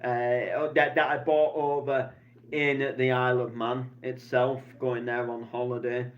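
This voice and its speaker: A man, chatting in a loose, meandering way and filling his sentences with ums and likes. His voice sits at an ordinary middle pitch. The recording sounds a little dull and lo-fi. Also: little pitch movement, unhurried. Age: 20s. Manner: chatty, meandering, many fillers and restarts. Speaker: DJ vlogger. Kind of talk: rambling casual vlog.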